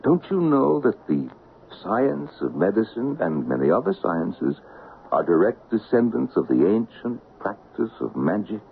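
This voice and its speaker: An actor playing a soothing, patronizing doctor who talks gently and coaxingly, in an old radio drama. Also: male voice, lowish voice, sing-song, measured pace, gravelly, a little hiss or hum, exaggerated voice. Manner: gentle, coaxing, lilting storyteller delivery. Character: soothing, patronizing middle-aged male doctor. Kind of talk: 1970s radio drama acting. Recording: tinny old radio broadcast.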